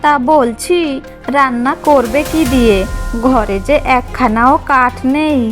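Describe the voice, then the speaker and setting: A woman's voice: sits high, slow. A woman playing a scheming, bossy elder sister-in-law in a cartoon fairy tale, smug and conspiratorial. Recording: music behind the voice.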